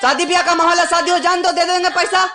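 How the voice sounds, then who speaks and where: A man's voice: high voice, expressive, normal speed. A young man playing an earnest, defensive village youth from Bihar or UP. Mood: angry. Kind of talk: acted Hindi drama. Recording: music playing behind the voice. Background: music.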